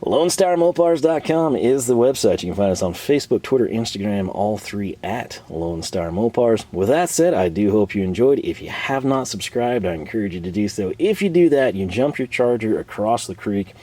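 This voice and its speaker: A man hosting a tool video in his workshop, chatting casually and rambling with fillers, his voice a bit hoarse from a cold. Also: lowish voice, animated, moderate pace, few pauses, warm tone. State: slightly hoarse, losing his voice. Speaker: tool channel host. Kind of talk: casual show-and-tell talk. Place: home workshop.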